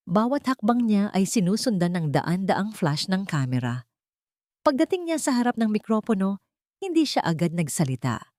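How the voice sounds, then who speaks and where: A woman narrating a scripted romance drama with dramatic pauses. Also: mid-range voice, darkish tone, dry recording, clean studio sound.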